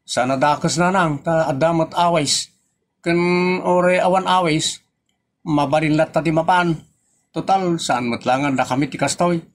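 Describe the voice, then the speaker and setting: A man's voice: on the high side, measured pace, pitched above the performer's own voice, close to an everyday voice. A man puts on an eager young Ilocano son in a comedy audio drama. The voice is pushed well up, and he coaxes and nags his parents insistently. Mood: eager, coaxing.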